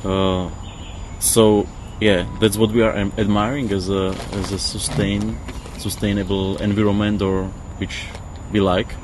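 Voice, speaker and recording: Low-voiced non-native man, noisy outdoor interview. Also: level tone, measured pace. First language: not English. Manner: hesitant, searching for words. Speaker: interview guest. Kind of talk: reflective casual interview. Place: outdoors on a river island. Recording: clearly noisy outdoor field recording.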